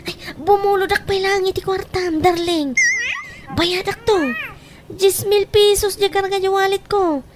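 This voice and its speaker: A cocky tomboy sweetheart voiced by a woman in a radio comedy drama, smooth-talking and casual while angling for money. The voice sits high, and the recording is clearly noisy. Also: steady tone, unhurried. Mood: wheedling. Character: swaggering, sweet-talking tomboy lover who mooches money.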